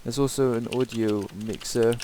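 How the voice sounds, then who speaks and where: A man giving a casual, improvised software tutorial in a hesitant, rambling way. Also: mid-range voice, fairly even intonation, normal speed. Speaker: tutorial presenter. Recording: hissy home microphone recording.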